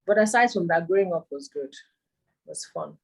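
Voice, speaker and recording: A Nigerian woman in her thirties, a poet and author, recalling her childhood over a video call, pausing to search for words. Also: lowish voice, wildly swooping pitch, husky. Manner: thoughtful, searching for words with frequent ums. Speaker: interviewee, performance poet and author. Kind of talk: remote interview, casual reminiscing.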